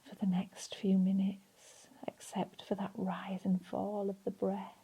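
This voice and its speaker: A woman leading a guided relaxation in a soft, breathy, soothing voice, leaving long pauses between phrases. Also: mid-range voice, completely flat delivery, muffled recording. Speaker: meditation and relaxation instructor.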